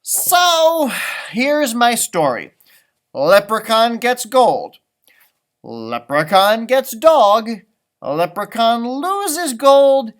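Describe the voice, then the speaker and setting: A man's voice: very high-pitched, sing-song, extremely slow, a bit bright, pitched above the performer's own voice, full character voice. A man voicing a grumpy, theatrical leprechaun who tells his own tale in a storybook read-aloud. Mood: proud. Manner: grumbling, theatrical, exclaiming.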